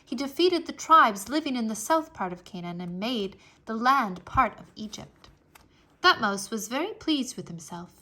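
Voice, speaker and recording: A woman reading a history book aloud for children in an expressive, deliberate storytelling manner. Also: on the high side. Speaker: read-aloud narrator.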